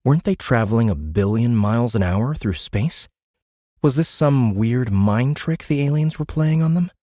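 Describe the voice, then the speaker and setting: A man's voice: medium pitch, expressive, normal speed, warm tone, no echo, studio quality. A man narrating a sci-fi audiobook in a dramatic, scripted storytelling style.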